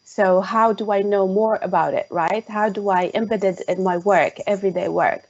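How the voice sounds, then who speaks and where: A woman with a Jordanian Arabic accent speaks in a middle pitch on an online call. She pauses often to fill gaps with "um" and restarts her sentences as she explains her views. The sound is muffled and lo-fi. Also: fairly even intonation. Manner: hesitant, many "um" fillers. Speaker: tech specialist guest. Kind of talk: online panel conversation. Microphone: video call.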